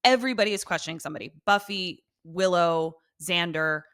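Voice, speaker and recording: A woman with a bright, forceful voice recaps television as a podcast co-host. She talks casually and with plenty of slang and swearing, in a fairly low register, recorded close and dry. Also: fairly quick, no echo. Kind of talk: casual TV episode recap chat.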